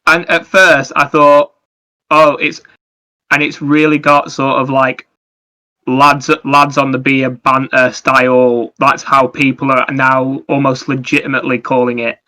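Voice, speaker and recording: A man's voice: medium pitch, level tone, measured pace, bright, forceful tone, rough recording. A man chatting casually as a gaming podcast co-host, wandering off into anecdotes.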